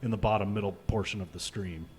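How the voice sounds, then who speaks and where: A man's voice: on the low side, fairly even intonation, fast talker, darkish tone, slightly rough. A man co-hosting a live stream, chatting casually with self-mocking wisecracks. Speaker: stream co-host. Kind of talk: casual chat.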